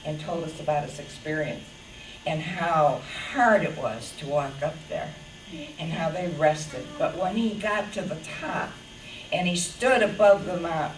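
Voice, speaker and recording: An older woman in her eighties from western New York speaks into a handheld mic with a big, slow-paced, lowish voice. She tells stories and laughs as she goes. The sound is distant and echoey, with room noise behind. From western New York, USA. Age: 80s. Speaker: honoree giving an anniversary speech. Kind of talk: heartfelt party speech. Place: party room. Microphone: handheld microphone passed around. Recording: echoey room from far off with chatter.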